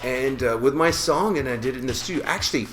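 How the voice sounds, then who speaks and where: A man who grew up in Switzerland, telling lively stories as an interview guest and mimicking sounds along the way. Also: medium pitch, fast talker. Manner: animated, imitates sounds and voices while telling stories. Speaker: singer-songwriter guest. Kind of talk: casual storytelling interview. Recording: echoey room with guitar music behind.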